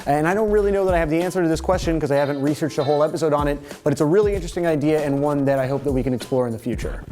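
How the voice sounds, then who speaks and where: A man's voice: a little high, racing, a little mellow. A young Canadian man from Toronto hosting a music video series, casually answering viewer comments with jokey, sarcastic asides.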